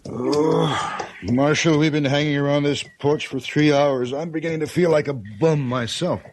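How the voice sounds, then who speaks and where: An actor playing a proud, restless former soldier in a radio western, polite and articulate with a sardonic edge. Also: male voice, on the high side, steady tone, moderate pace, lightly acted. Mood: bored, impatient. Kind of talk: old-time radio western acting.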